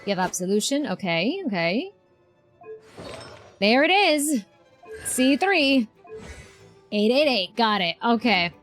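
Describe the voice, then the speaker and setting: A woman's voice: a little high, big pitch swings, takes it slowly, long pauses, fairly bright tone. A woman streamer giving casual, playful live reactions to a game, often repeating short phrases. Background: game music.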